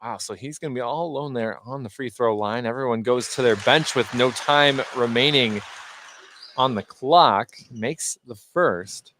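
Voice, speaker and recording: A man's voice: mid-range voice, unhurried, a bit bright. A man doing live radio play-by-play of a basketball game, describing the action in short, clipped phrases. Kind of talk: live radio sports commentary. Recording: noisy gym, clear background noise. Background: gymnasium ambience, bouncing basketballs.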